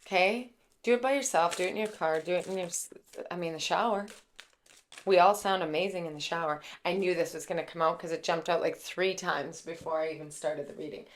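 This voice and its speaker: A woman with a raspy voice giving a casual, chatty tarot reading, full of fillers and warm asides to her viewers. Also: on the low side, brisk. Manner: gushing, chatty, many fillers like "like" and "okay". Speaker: tarot reader and intuitive.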